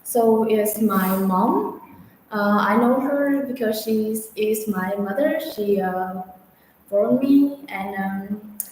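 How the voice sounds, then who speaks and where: A woman answering practice speaking-test questions in halting sentences with many fillers. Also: medium pitch, a little mellow. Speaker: test candidate. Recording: echoey room with long reverb, faint noise.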